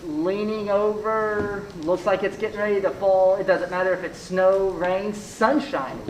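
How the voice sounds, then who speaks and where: A man's voice: sits high, steady tone, a little slow, distant mic. Man, casual banter, echoey room, off-mic, light background noise.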